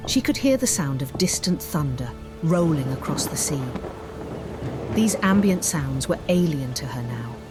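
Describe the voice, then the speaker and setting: A woman storyteller reading scripted fantasy narration in a measured, theatrical way. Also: deep voice. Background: music bed.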